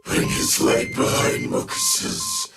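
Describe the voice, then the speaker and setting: A man playing a grave, theatrical narrator of a dark science fiction audio drama, with drawn-out, ominous delivery. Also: close to an everyday voice. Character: ominous male narrator of a dark sci-fi tale. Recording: echoey, distant voice under loud music.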